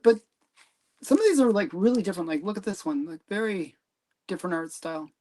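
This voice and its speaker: A man's voice: high voice, lively intonation, fairly quick, darkish tone. Hesitant man chatting about comics. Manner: hesitant, many ums and trailing sentences. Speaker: comic collector host. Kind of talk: casual livestream chat.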